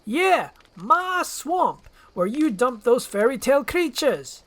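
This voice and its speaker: A performer voicing a big, gruff, irritable male ogre in a storytelling roleplay, blunt and exasperated. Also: high-pitched, big pitch swings, takes it slowly, fairly bright tone, pitched above the performer's own voice, exaggerated voice. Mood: indignant.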